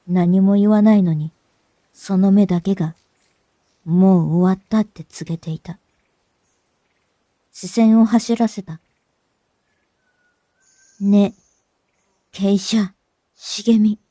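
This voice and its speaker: A woman playing a cornered, fearful young fugitive, narrating a fantasy audio drama in short phrases with dramatic pauses. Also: mid-range voice, warm tone, no room sound. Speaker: voice actor narrating as the main character.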